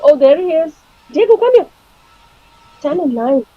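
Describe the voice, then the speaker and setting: A woman's voice: high voice, very animated, no room sound, narrow, tinny audio. A woman acting in a stage play as an anxious migrant mother who frets about her papers and keeps track of her child. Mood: nervous. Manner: anxious, hurried. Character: nervous female migrant mother in a queue.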